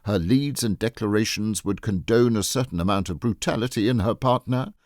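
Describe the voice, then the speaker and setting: A man reading the part of an imperturbable, free-spirited Edwardian lady governess in a storybook, lofty, decisive and deadpan. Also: on the low side, a little fast, no echo, studio quality, pitched above the performer's own voice, natural voice. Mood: deadpan, scandalous.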